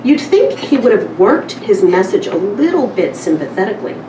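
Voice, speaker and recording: A woman's voice: mid-range voice, big pitch swings, moderate pace. A woman presenting a literary reading and chatting informally to an audience, speaking away from the microphone. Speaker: publisher and editor presenting a reading. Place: live event room, away from the microphone. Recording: roomy, distant live recording with noise.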